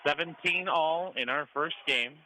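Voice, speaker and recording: A man's voice: fairly high pitch, a little slow, pushed and bright. An American man doing live radio play-by-play commentary of a volleyball match. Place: high school gym during a match. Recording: clearly noisy gym ambience. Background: gym ambience and noise.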